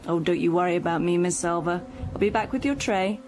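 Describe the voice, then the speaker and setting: An actress playing a kindly, reassuring home helper in a radio drama, encouraging and gently bossy. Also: female voice, on the low side, brisk, noisy background, natural voice.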